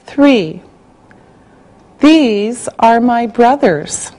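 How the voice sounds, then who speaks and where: Slow, mellow-voiced woman reading dictation. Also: a little high, expressive, poor audio. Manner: slow, carefully spelled out, evenly paced. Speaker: English teacher reading a listening exercise.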